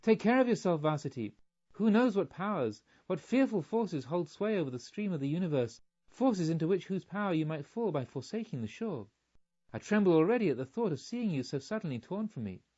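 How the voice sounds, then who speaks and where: A man's voice: sits high, sing-song, a little fast, warm tone, pitched above the performer's own voice. A man reading a storybook, voicing an anxious, loving male pilgrim in earnest, formal, emphatic speech on a slightly muffled recording. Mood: fearful, pleading. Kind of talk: audiobook storytelling.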